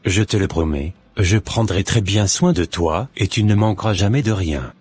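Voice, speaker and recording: Man voicing a devout young cartoon suitor, deep, husky, measured and earnest. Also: darkish tone, dry recording, faint music behind. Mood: devoted.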